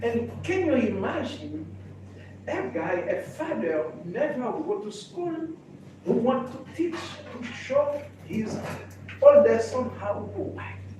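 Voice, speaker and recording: A man's voice: extremely high pitch, big pitch swings, dark tone. Older man, echoey and distant. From Haiti. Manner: halting, repeats and restarts phrases. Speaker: real estate association president. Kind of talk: personal introduction speech. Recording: echoey room, mic far off, background noise.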